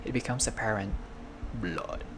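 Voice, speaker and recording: A video game player reading a visual novel aloud as narration, with casual personal asides and expressive delivery. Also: medium pitch, normal speed, background noise. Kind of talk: playthrough narration with casual reactions. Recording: music behind the voice. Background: game music.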